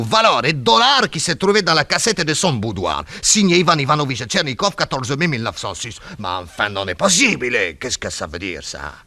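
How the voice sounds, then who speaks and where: An actor playing a sly, mocking, bullying blackmailer, in theatrical 1960s French radio drama style. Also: male voice, mid-range voice, sharp tone, dry recording, exaggerated voice. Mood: menacing curiosity. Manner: taunting, chuckling, ends phrases with "hein".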